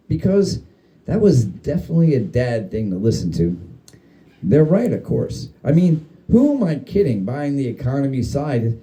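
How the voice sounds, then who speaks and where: A man's voice: mid-range voice, warm tone, poor audio. A man giving a dramatic reading of a humorous spooky story, with wry, theatrical and emphatic phrasing. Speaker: story reader.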